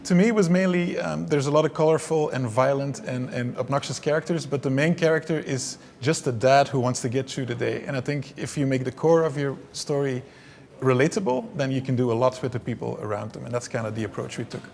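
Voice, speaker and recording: A gravelly-voiced man from Belgium talks in a stop-start way, with plenty of fillers and false starts. His first language is Dutch, and he answers interview questions at an ordinary, middle pitch. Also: a little fast, darkish tone. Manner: hesitant, many restarts and fillers. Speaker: film director.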